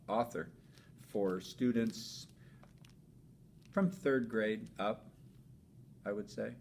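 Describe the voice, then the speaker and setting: A man who teaches elementary school reads a children's storybook aloud in an expressive, emphatic way. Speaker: fifth grade teacher reading aloud. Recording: noisy with low rumble.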